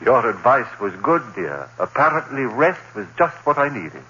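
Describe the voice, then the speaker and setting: An actor playing a troubled, haunted man in an old-time radio horror drama, with a brooding, halting delivery. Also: medium pitch, very animated, pushed and bright, breathy edge, exaggerated voice. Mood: subdued. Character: troubled, haunted man narrating his own descent into dread. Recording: dull old radio recording with hiss and hum.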